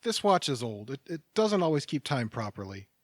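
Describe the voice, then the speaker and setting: Gentle stranger played by a man, highly animated intonation. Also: normal speed, no room sound, clean studio sound. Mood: reluctant, reassuring. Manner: gentle, reassuring. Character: kindly, patient male stranger waiting for a train. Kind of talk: audio theatre acting.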